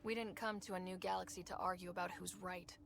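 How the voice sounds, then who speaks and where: An actress playing a composed, firm young explorer leader in a video game cutscene. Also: female voice, fairly even intonation, fast talker, vocal fry. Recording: slight reverb, faint rumbling noise.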